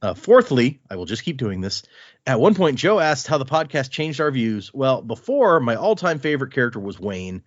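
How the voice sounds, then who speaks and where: A man hosting a podcast, reading a listener's email aloud and adding his own quick asides between lines. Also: mid-range voice, no echo. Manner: reads with lively asides and comments between lines. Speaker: podcast host. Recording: dry, slightly dull lo-fi sound.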